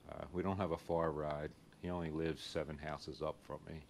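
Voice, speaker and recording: A man's voice: a bass voice, little pitch movement, moderate pace, slight background noise. A man with a Philadelphia accent, talking casually to camera as host of a home and lawn care show, plain-spoken and easygoing as he explains the job.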